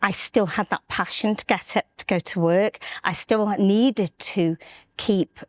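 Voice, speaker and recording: A middle-aged woman from North Wales, an estate agency director, telling her life story as an interview guest in an earnest, halting way with many restarts. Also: medium pitch, fairly even intonation, no room sound. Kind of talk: candid personal storytelling.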